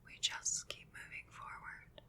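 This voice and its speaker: Woman as a slow, whispering, consoling witch. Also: pushed and bright. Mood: tender reassurance. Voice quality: breathy whisper. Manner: slow, soothing, deliberate. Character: gentle, consoling witch with healing magic. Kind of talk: whispered ASMR roleplay.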